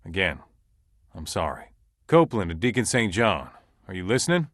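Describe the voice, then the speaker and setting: A low-voiced leader of a survivor camp in a video game. He is folksy and commanding, with lively swings of pitch and plain, naturalistic delivery. The sound is close and dry. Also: normal speed, dry recording. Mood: sincere, subdued.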